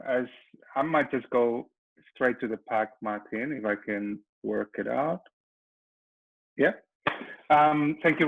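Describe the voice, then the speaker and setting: A man's voice: mid-range voice, rough recording. A middle-aged man with a Spanish accent presents a webinar in a mid-range voice. He often stumbles, restarting and repeating words before moving on. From Spain. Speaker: company founder presenting a webinar. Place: Melbourne.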